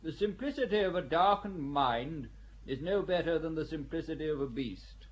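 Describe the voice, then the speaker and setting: A man's voice: fairly high pitch, animated, normal speed, natural voice. A man playing a stern, pedantic senior church judge in an audio drama, pompous and commanding. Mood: sententious, cold. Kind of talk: audio drama acting of a stage play. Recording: dull audio with some noise.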